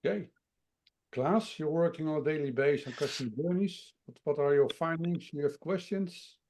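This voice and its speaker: Gravelly man moderating a webinar. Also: fairly high pitch, normal speed, a little mellow, a little hiss or hum. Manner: hesitant, many fillers.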